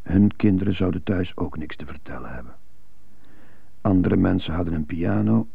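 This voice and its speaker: Gravelly low-voiced male prisoner, brooding. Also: normal speed, heavily acted. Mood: bitter. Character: jazz-loving prisoner, earnest, brooding over his strict childhood. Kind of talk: 1980s Dutch-language radio drama. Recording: muffled gravelly-sounding phone-like radio tape.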